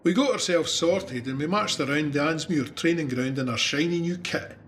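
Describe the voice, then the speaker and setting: A man's voice: on the high side, moderate pace, a bit bright, a little hiss or hum, lightly acted. An actor with a Scottish accent playing a wry, plain-spoken cavalryman recounting his war in a dramatised memoir. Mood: wry, reflective. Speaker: narrator of an audio drama. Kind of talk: dramatised first-person memoir narration.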